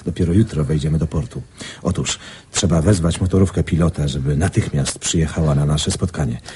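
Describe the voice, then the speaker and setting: A male actor playing a courteous, practical traveller who takes charge in measured sentences in a radio drama. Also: rumbling low, warm tone, husky, heavily acted. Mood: sympathetic, businesslike. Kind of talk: Polish radio drama acting.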